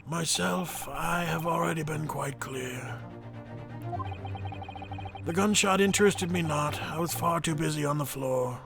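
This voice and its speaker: A man's voice: on the high side, measured pace, vocal fry, pauses often, over music. A man voicing an eccentric, fussy old antique dealer in a game fan dub, with archaic, mannered phrasing. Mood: aloof, precise. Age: older. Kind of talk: video game fan voice acting.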